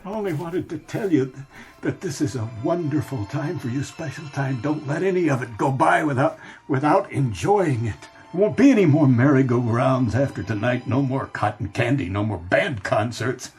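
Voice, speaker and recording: An actor playing a desperate man in a radio drama, earnest and imploring, with halting delivery. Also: mid-range voice, darkish tone, natural voice. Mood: grieving, tender. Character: desperate grown man pleading with parents and younger self. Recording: radio drama with music underneath.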